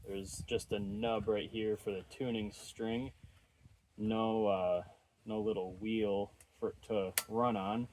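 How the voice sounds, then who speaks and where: Low-pitched man, casual repair commentary. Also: noisy background. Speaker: host of an electronics repair channel.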